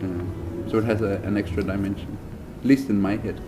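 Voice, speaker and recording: A man, a jazz bassist answering interview questions thoughtfully, with lots of hesitations and restarts. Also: fairly even intonation, brisk. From Denmark. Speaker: jazz double bass player, interviewee. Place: concert venue. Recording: music playing underneath. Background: music playing.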